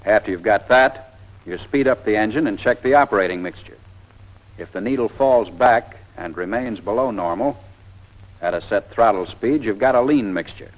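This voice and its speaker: A low-pitched American man reads scripted instructions as the narrator of a training film. He is plain-spoken and methodical, setting out each step in order. The sound is a dull, lo-fi soundtrack from the 1940s.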